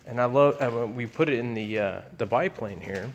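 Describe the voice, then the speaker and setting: A man giving a casual, unscripted walkthrough as an aircraft owner, rambling and halting in a folksy way. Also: medium pitch, lo-fi sound, a little hiss or hum. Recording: long hall-like reverb.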